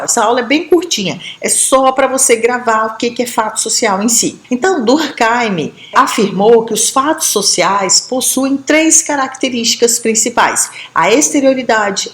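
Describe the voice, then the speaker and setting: A woman from Brazil teaches a sociology lesson in a fairly high voice. She lays out each idea patiently, often pausing to check in with quick tag questions. The room around her gives the voice a noticeable echo. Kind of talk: explanatory classroom lesson.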